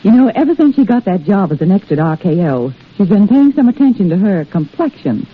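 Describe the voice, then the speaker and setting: A woman's voice: mid-range voice, very animated, a little fast, breathy edge. An actress playing a knowing young woman confiding gossip in a 1930s radio advertisement skit. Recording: tinny old radio recording.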